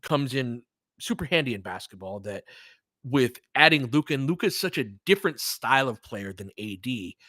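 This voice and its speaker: A man's voice: medium pitch, lively intonation, moderate pace, pushed and bright, no room sound. A man hosting a live play-by-play stream, giving casual, conversational sports commentary and analysis as he chats with listeners, with the odd mild swear. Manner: conversational, chatting back to listeners, mildly profane.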